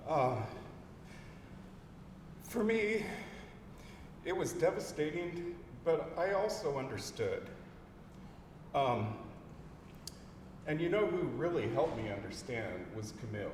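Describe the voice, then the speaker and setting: A man speaking as a bereaved husband at a memorial lecture, hesitant and emotional, with many pauses and fillers. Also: on the high side, very animated. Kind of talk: halting heartfelt remarks. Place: lecture hall. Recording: echoey hall from far off with noise.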